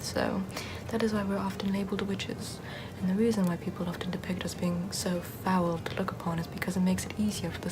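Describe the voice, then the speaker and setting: A woman playing a sardonic witch healer in an ASMR roleplay, soft-spoken and often whispering in a conversational way. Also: fairly low pitch, close to an everyday voice. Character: sardonic Puritan-era witch, chatty, scornful yet soothing healer. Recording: clear background ambient noise.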